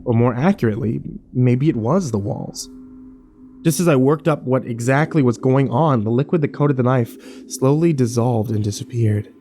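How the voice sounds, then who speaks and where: A man's voice: mid-range voice, moderate pace, dark tone, natural voice. Man acting a brooding horror narrator, faint noise. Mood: wary. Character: troubled, wary male survivor narrating his ordeal. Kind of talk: dramatic first-person horror narration.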